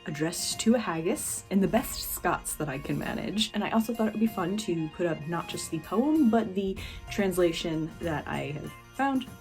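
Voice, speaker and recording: A woman's voice: lowish voice, lively intonation, moderate pace, a little mellow, soft background music. A young American woman hosting her channel, chatting casually in a nervous, self-deprecating way. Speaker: channel host. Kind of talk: casual chat.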